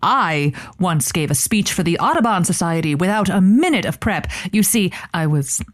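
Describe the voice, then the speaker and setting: A woman's voice: lowish voice, expressive, normal speed, dry recording, studio quality, natural voice. A woman playing an eager, inexperienced late-night radio host who rambles and stammers with chirpy politeness in an audio comedy. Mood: flustered. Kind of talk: audio comedy drama acting.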